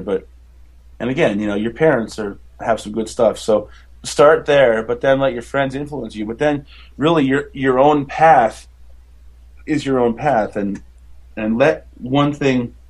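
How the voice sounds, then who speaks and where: A man with a baritone voice, a musician chatting as a podcast guest, rambling enthusiastically with many fillers and restarts. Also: darkish tone, slightly rough. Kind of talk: casual interview chat.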